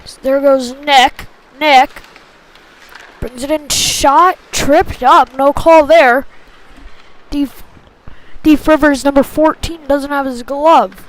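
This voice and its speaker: A woman's voice: sits high, takes it slowly, long pauses, rough recording. A woman doing live play-by-play commentary of youth ice hockey in short clipped phrases. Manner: short clipped phrases, excited on big plays.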